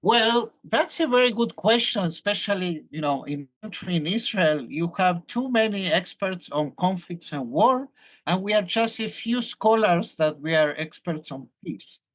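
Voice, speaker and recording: Brief: older male academic with a Hebrew accent, thoughtful and explanatory, lively intonation. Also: high voice, measured pace. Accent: Hebrew-accented English. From Argentina. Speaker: professor, guest. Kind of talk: academic interview.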